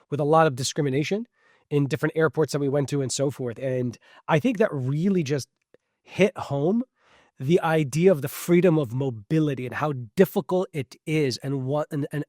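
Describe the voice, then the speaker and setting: A man's voice: on the high side, no echo. Reflective middle-aged man, dry close sound. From Switzerland. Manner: reflective, with frequent fillers and restarts. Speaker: podcast guest, traveler. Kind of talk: conversational interview answers.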